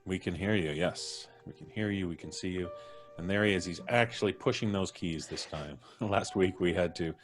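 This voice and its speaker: A man's voice: low voice, normal speed, faint music behind. A man with a North American accent hosting a casual live stream with jovial, playful banter. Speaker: host.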